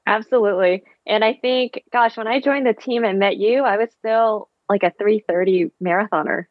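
A woman's voice: mid-range voice, normal speed, dry recording. A woman in her thirties, born in Vietnam and raised in the Bay Area with Vietnamese as her first language, speaking as a friendly podcast guest over a video call. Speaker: guest, physical therapist and running coach. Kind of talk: casual interview chat. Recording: dull video-call audio.

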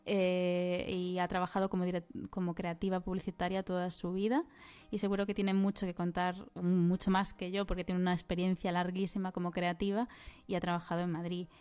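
Thoughtful woman guest talking in halting bursts. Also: lowish voice, little pitch movement, darkish tone, clear, resonant tone. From Galicia, Spain. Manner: thoughtful, halting with restarts, laughs easily. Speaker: guest, marketing creative. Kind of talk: casual interview chat.

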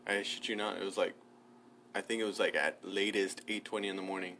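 A man's voice: sits low, fairly even intonation, brisk, sharp tone, a little hiss or hum. A young man co-hosting a podcast, talking casually and rambling, filling sentences with "like" and the odd swear. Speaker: podcast co-host. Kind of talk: casual chat.

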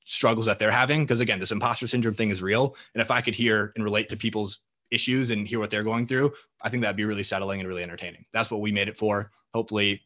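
Young male guest, quick and bright, low-pitched, sure of himself, rarely hesitates. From Minnesota. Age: young adult. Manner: confident, fluent, energetic talker. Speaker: guest, marketing entrepreneur. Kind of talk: podcast interview answers.

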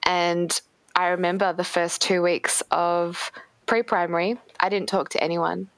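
A soft-spoken woman in her thirties hosting a casual podcast chat. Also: on the low side, little pitch movement, measured pace, no echo, rough recording. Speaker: podcast host.

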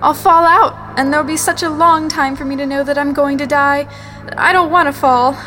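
A woman's voice: high voice, normal speed, a bit bright, faint music behind. An actress playing a timid, frightened dream double of a woman in an old radio drama, pleading and fearful. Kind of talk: 1950s radio drama acting.

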